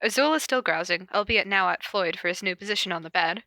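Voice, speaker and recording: A woman's voice: fairly low pitch, quick pace, sharp tone, no echo. A woman podcast host casually reading fan fiction aloud and chatting, with a giggly, informal manner and frequent asides. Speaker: podcast host and podfic reader. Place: corner of a basement at home.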